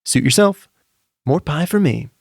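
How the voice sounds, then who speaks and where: A man's voice: fairly high pitch, animated, a little slow, vocal fry, no room sound, clean studio sound, voice pushed up, full character voice. A man voicing a teasing, sarcastic uncle in a narrated story, with wisecracking delivery. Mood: casual, breezy. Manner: wisecracking, needling.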